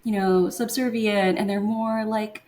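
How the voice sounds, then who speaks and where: A young woman from Taiwan, a guest in an interview, talking casually and often pausing to search for words. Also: medium pitch, fairly even intonation, a little mellow, a little hiss or hum. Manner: hesitant, searching for words with many fillers.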